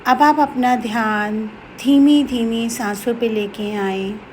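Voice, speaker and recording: Slow, higher-pitched Indian woman guiding meditation. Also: fairly even intonation, a little mellow, a little hiss or hum, poor audio. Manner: slow, soothing, instructional, with long pauses. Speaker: meditation guide. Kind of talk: guided meditation in Hindi with some English phrases.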